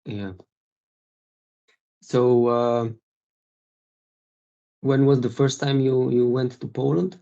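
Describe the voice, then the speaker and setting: Host: man, gravelly low voice, dark tone, muffled lo-fi recording. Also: little pitch movement, slow, long pauses. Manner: asks questions with restarts. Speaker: language teacher and podcast host. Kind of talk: casual interview questions. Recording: dull lo-fi recording.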